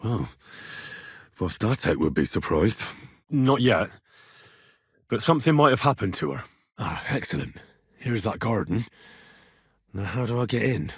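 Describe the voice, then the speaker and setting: A young male hero of a medieval video game, earnest and plain-spoken, ready to stand his ground. His voice has a husky edge and swings freely in pitch. The sound is close and dry. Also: sing-song, a little slow, pauses often, no room sound, full character voice. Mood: conversational, matter-of-fact. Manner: conversational, earnest, assertive when challenged. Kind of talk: game dialogue voice lines.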